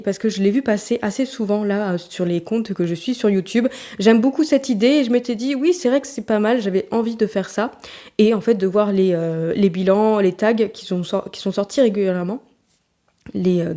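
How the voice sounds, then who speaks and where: A young woman with a stuffy, blocked nose chats casually about her reading in a rambling, filler-filled way. Her voice sits at a middle pitch with a soft, mellow tone. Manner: chatty, rambling, many fillers and restarts. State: congested, talking through a blocked nose. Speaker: book vlogger. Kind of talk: casual chat to camera.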